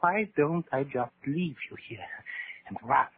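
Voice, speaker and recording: An actor playing a guilt-ridden, broken German soldier in a 1940s radio horror drama, halting and brooding. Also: male voice, mid-range voice, expressive. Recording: scratchy, narrow-band 1940s radio recording.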